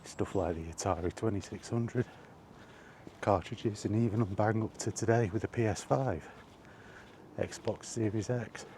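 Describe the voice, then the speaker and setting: A man giving a casual, halting opinion monologue to a vlog audience, rambling along in short phrases while out walking. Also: on the low side, dark tone, slight background noise. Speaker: video blogger. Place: outdoors, walking.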